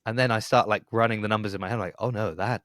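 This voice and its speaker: A middle-aged man with a fairly low voice, recorded close and dry with no room sound. He hosts a podcast in a casual, conversational way. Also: fairly even intonation, fast talker, fairly bright tone, dry recording. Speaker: podcast host. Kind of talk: casual interview chat.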